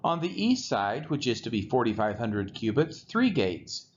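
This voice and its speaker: A man reading scripture aloud from the text in measured, separate phrases. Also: mid-range voice, sing-song. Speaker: scripture reader. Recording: muffled lo-fi audio with background noise.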